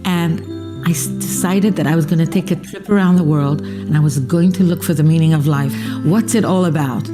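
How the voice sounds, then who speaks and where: A woman's voice: low-pitched, a little fast. A woman narrating her own life story in a reflective tone. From Israel. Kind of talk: personal testimony narration. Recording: music underneath.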